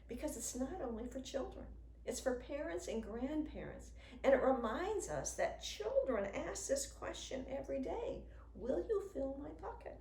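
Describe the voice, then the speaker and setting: A woman in her sixties, a children's author, reading a picture book aloud to young children in an expressive, sing-song way. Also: high voice. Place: indoors in a home room with a wooden desk. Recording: roomy sound with faint noise.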